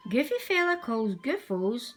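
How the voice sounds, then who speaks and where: A woman reading a children's storybook aloud as a storyteller, with expressive, deliberate delivery. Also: fairly high pitch, faint music behind. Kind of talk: scripted storybook narration for young children.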